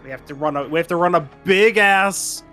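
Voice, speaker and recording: A man with a very bright, somewhat high voice banters casually as a game co-commentator. His asides are wry and conversational. Game music runs softly behind him. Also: a little fast. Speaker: video game let's-play co-commentator. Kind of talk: casual banter over gameplay. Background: video game music.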